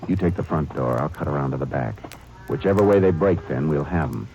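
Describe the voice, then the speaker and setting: An actor playing a steady Texas Ranger, calm and plain-spoken, giving clipped orders and narrating in a 1950s radio drama. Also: male voice, very deep voice, a little fast. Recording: muffled old radio recording with music.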